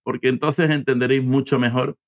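A man's voice: mid-range voice, level tone, brisk, dry recording. A man hosting an interview podcast in a conversational way, introducing and questioning a guest.

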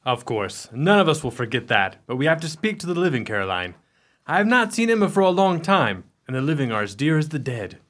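Man playing a slick sinister brother in a radio play, formal and courtly, lots of swing in his pitch. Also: big pitch swings, fairly quick, a bit bright. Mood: smoothly courteous. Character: Henry, smooth-talking, coolly self-assured, sinister brother.

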